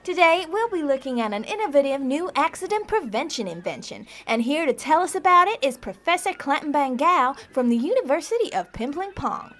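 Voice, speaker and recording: A woman's voice: sits high, big pitch swings, fairly quick, hardly any pauses, a bit bright. A woman playing a sardonic, exasperated host of a mock magazine show in an audio comedy, dry and sceptical. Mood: presenting.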